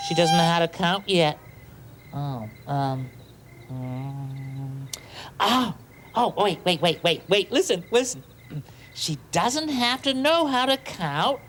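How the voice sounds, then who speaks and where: A big-hearted, childlike bird hosting a bedtime puppet show. The talk is gentle, eager and rambling, with hesitant little stops. Noise sits clearly in the recording. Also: male voice, sits high, heavily acted. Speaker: host giving bedtime tips. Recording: clearly noisy home video soundtrack.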